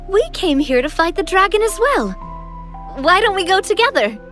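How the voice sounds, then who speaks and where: A woman's voice: extremely high pitch, very animated, moderate pace, over music. A woman playing an earnest, helpful young heroine in a video game, thoughtful and cooperative. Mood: earnest, eager. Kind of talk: video game character acting.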